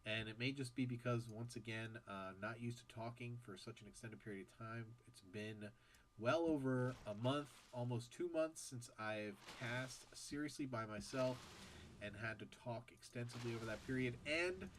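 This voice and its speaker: A man's voice: medium pitch, normal speed, slight background noise, low-quality recording. A man casting a strategy game match on his own, nasal and stuffed up after a bad sore throat, chatting casually between plays. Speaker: esports caster. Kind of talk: solo game commentary.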